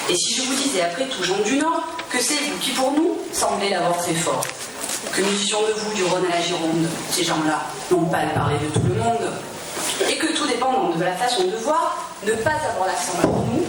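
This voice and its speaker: A reciter declaims verse theatrically with a Provençal accent. The delivery is emphatic and stagey. It is picked up from far off in a very reverberant hall, with clear background noise. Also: medium pitch. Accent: southern French (Provence). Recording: very echoey noisy hall, distant mic.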